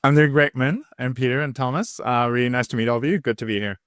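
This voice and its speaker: A man's voice: mid-range voice, quick pace, fairly bright tone, no room sound, clean studio sound. A man in his thirties from Switzerland, a podcast guest telling his story in a rambling way, full of fillers and restarts. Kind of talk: casual interview chat.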